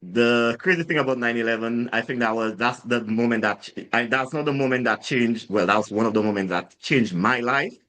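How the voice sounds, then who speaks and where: A man with a Saint Lucian accent speaks into a podcast mic at a middling pitch. He rambles through his life story with frequent fillers and false starts, growing animated as he retells what happened. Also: fairly quick, few pauses. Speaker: guest, drinks brand founder. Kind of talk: casual storytelling interview.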